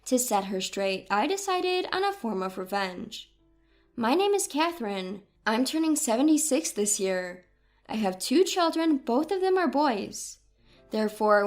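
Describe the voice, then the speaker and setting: A woman narrates a scripted story in a high voice with lively, wide-swinging intonation. Her reading is expressive and dramatized, with music underneath.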